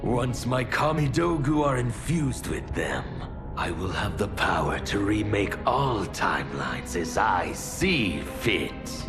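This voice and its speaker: An actor playing a gloating, grandiose mad villain in a video game cutscene, speaking in a deliberate, theatrical way. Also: male voice, lowish voice, very animated, over music, full character voice. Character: gloating mad villain, male chaos titan from another timeline.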